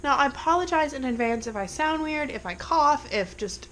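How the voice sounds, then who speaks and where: A woman's voice: high voice, expressive, normal speed, fairly bright tone, slight background noise, low-quality recording. A young woman with a congested voice, chatting casually through a monthly book wrap-up with rambling asides. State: congested from illness. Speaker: book vlogger.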